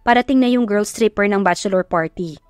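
A woman's voice: mid-range voice, fairly quick, darkish tone, smooth, dry recording, clean studio sound, soft background music, close to an everyday voice. A woman voicing a concerned, reassuring female event manager in a romance audio story. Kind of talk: acted dialogue in Tagalog romance audio story.

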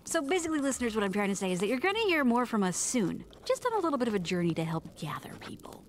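A woman playing a fussy, chatty castaway in a comedic audio drama, expressive, breezy and rambling. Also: a little high, racing, a little hiss or hum. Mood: chatty, confiding. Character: fussy, chatty woman stranded in another world. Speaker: podcast narrator character.

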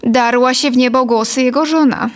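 A woman in her thirties narrating a scripted story deliberately and expressively into a close studio microphone. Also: high-pitched, steady tone. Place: dim studio set, indoors. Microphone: studio condenser on a boom, close.

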